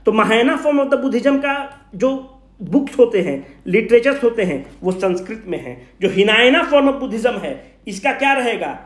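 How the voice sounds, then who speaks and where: A man teaching an informal exam-coaching class, emphatic and repetitive, posing questions he answers himself and mixing in English phrases. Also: high voice. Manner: emphatic, repeats key points; mixes English phrases into Hindi. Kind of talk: informal history lecture with rhetorical questions. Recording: echoey room.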